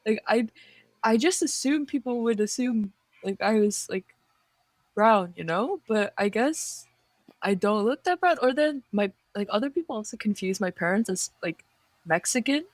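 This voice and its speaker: A young woman co-hosting a podcast, telling a story in a relaxed way with plenty of fillers. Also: fairly high pitch, normal speed, no echo. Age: young adult. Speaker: podcast co-host. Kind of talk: casual storytelling.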